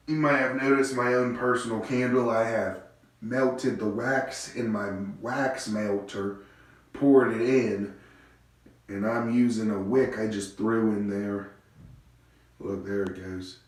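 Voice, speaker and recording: A man's voice: fairly even intonation, slow, long pauses, recorded from a distance. A congested, stuffy-sounding man hosting a casual, rambling monologue from his home bathroom. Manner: rambling, low-energy musing. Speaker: host. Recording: very echoey bathroom, voice off-mic.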